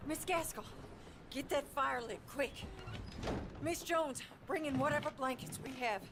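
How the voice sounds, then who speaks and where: High, bossy camp matron. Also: female voice, measured pace, noisy background. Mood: brisk, commanding. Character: stern, bossy female camp matron giving orders. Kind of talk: dramatic video game acting.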